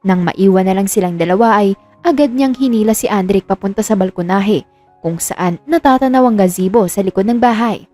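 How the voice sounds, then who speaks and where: A woman's voice: mid-range voice, fairly quick, mellow, faint music behind. A woman storyteller reading a scripted romance story in narration.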